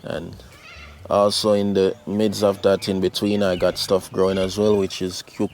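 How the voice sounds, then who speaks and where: A man's voice: sits low, level tone, moderate pace, a little mellow. A man from Jamaica with a Jamaican accent, chatting casually in his backyard garden as a channel host, laid-back and full of fillers. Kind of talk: casual garden vlog chat.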